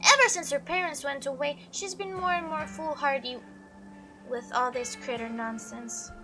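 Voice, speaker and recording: A woman's voice: high-pitched, moderate pace, over music, close to an everyday voice. A woman voicing a prim, doting female pet in a visual novel, fussy and affectionately scolding. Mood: fond, fretting.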